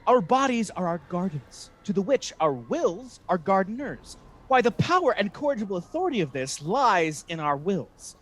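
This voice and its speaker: A man's voice: high voice, very animated, normal speed. A man playing a scheming, resentful Venetian ensign in a Shakespeare radio play, persuasive and theatrical. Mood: emphatic persuasion.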